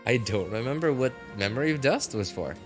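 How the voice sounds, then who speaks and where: A man hosting a game livestream, rambling casually and excitably, exclaiming and musing aloud. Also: medium pitch. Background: game music.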